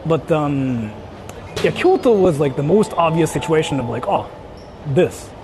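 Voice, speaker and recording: Higher-pitched man streaming over street noise. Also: animated, unhurried. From Japan. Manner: rambling, repetitive, filler-heavy with frequent "like". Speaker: live streamer. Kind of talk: casual livestream chat with viewers. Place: out and about in public while livestreaming. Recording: noisy outdoor urban and traffic ambience.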